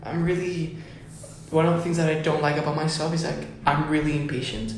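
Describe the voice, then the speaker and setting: Young man from Ecuador, gravelly voice, fairly high pitch, very echoey room, excited casual chat. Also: a little fast, a little hiss or hum. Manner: excited, says "dude" often. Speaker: musician guest.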